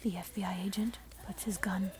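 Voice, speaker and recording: A woman telling a scary story in a breathy, unvoiced ASMR whisper, close to the microphone. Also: mid-range voice, steady tone, fairly quick. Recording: soft noise, mic scratching. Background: scratching and layered sounds.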